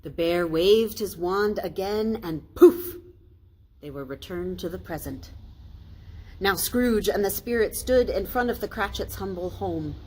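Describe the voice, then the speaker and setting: A woman pastor reading a storybook aloud in an expressive children's storytime style. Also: mid-range voice, a little slow, rough recording.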